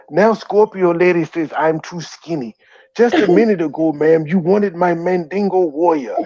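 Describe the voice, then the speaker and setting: A man hosting a livestream, bantering in a mock-exasperated, teasing way. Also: a little high. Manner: mock-exasperated, teasing, repeatedly addressing "ma'am". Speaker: livestream host and commentator. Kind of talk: bantering livestream commentary in a put-on comic accent. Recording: dull lo-fi roomy stream audio.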